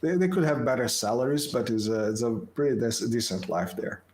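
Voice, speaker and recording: A man from Portugal hosting a casual political podcast chat, speaking in halting bursts and repeating words, with wry remarks. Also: mid-range voice, lively intonation, darkish tone, slight background noise. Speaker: podcast host.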